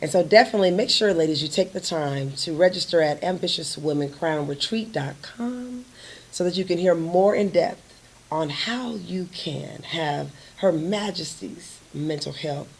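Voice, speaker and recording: A woman hosting an interview, affirming her guest warmly and speaking to her listeners with lively emphasis. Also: lowish voice, normal speed, husky. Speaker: host.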